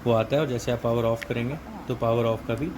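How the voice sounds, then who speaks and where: A man's voice: medium pitch, fairly even intonation, a little fast, background noise. A man with an Indian accent giving a casual, explanatory product walkthrough, mixing in English technical terms. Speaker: product reviewer.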